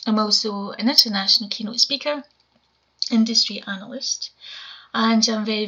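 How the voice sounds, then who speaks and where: A woman in her forties with a Scottish accent and a quiet voice, giving a measured conference talk from home over a webcam. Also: mid-range voice. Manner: measured, explanatory presenting. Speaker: conference speaker. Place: home room, indoors. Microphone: webcam video call. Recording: dull lo-fi webcam audio.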